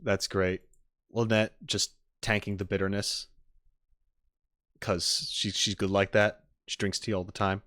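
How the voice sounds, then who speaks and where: A man giving casual livestream reaction commentary to game trailers, rambling and halting as he rephrases his thoughts. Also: lowish voice, flat delivery, fairly bright tone, no echo, studio quality.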